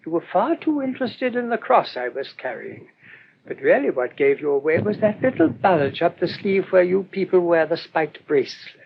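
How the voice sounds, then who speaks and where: Unassuming, shrewd British cleric for radio drama; higher-set male voice, gently hesitant, apologetic, muffled vintage sound. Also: big pitch swings, normal speed, creaky, full character voice. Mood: mildly explanatory. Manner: gently apologetic, rambling, quietly confident. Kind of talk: old-time radio drama acting. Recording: dull, noisy old radio recording.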